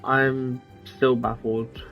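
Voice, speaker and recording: A man's voice: mid-range voice, level tone, extremely slow, dull audio. A man streaming a game, thinking aloud in a hesitant, muttering way as casual commentary. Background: game music.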